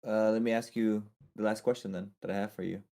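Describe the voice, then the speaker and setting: A laid-back man with a low voice and heavy gravel in his tone. He co-hosts in an easygoing way, tossing in dude and bro. His questions stay friendly and loose. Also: steady tone, quick pace, warm tone. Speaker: co-host. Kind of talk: casual podcast chat.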